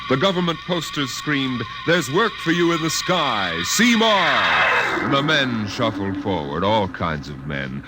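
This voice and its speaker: A man giving a solemn, emphatic, dramatic storytelling narration. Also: medium pitch.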